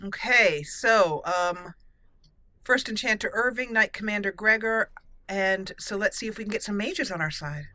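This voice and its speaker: A woman's voice: medium pitch, normal speed, pushed and bright. A woman giving roleplay commentary as she plays a video game.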